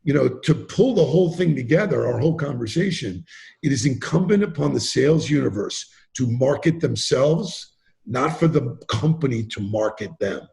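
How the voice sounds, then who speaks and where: A man talking as a podcast guest in a casual interview, animated and emphatic, acting out quotes as he tells stories. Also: mid-range voice, normal speed, creaky. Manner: animated, emphatic storyteller who acts out quotes. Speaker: podcast guest, sales trainer.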